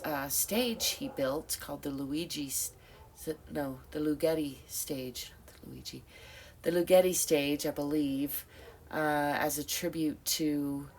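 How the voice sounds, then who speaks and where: A middle-aged woman with a low voice and a congested, stuffed-up nose talks to her camera at an unhurried pace. She muses out loud and circles back on herself, full of ums and restarts. A faint hiss sits under her voice. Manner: rambling, thoughtful, many fillers and self-corrections. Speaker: vlogger. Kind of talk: reflective casual monologue.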